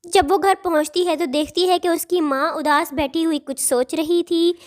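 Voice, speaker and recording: A woman's voice: extremely high pitch, steady tone, normal speed. A woman narrator reading a scripted cartoon story for children.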